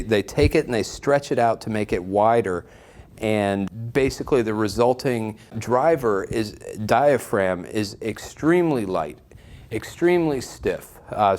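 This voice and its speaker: A man speaking as an enthusiastic shop presenter, giving an informal product review straight to viewers. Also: fairly low pitch. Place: shop listening room, indoors.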